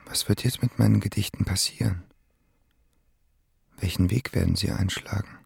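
An actor playing a shy, self-doubting young poet in an intimate radio drama, halting and terse. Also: male voice, fairly low pitch, steady tone, creaky, a little mellow, slight background noise. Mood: guarded.

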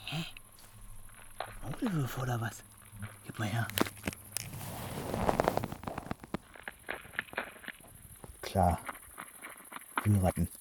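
A man's voice: on the low side, very animated, takes it slowly, a little mellow. An actor playing a curt, bossy man who searches for water leaks, in a naturalistic radio play. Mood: sure, explaining. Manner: curt, ordering, sure of himself. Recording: clear outdoor noise behind the voice.

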